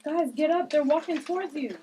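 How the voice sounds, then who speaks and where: Woman acting plucky young heroine, high pitch, mellow, table read drama. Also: brisk, a little hiss or hum, lightly acted, low-quality recording. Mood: urgent.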